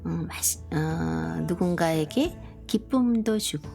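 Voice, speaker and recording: A middle-aged woman from Korea who grew up partly in Busan, chatting slowly and gently to viewers with many pauses and fillers. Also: lowish voice, creaky. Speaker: live stream host. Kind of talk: casual live chat to viewers. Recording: soft background music.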